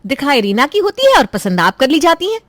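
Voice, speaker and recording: A woman's voice: high-pitched, big pitch swings, brisk, fairly bright tone, dry recording, pitched above the performer's own voice. A woman voicing a meddling, dramatic young married sister-in-law in a Hindi cartoon story. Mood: protesting.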